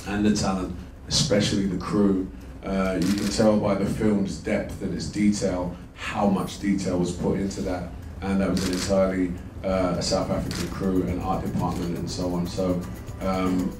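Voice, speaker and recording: A man speaking as a lead actor at a press conference, measured and reflective, with many fillers, holding a handheld mic. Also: sits low. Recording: roomy, from a distance, noisy room.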